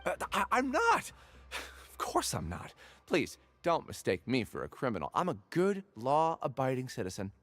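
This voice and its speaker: Fussy, flustered salesman, lively intonation. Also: mid-range voice, moderate pace. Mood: nervous. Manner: flustered, fussy, theatrically indignant. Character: anxious, aggrieved male drinks salesman, wordy and fussy. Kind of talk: video game voice acting.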